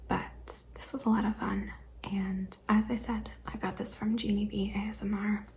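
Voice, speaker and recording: A young adult woman hosting an ASMR questionnaire video, speaking mostly in a hesitant whisper with frequent ums and soft laughs. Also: medium pitch, level tone, normal speed, slight background noise, rough recording. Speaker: ASMR channel host.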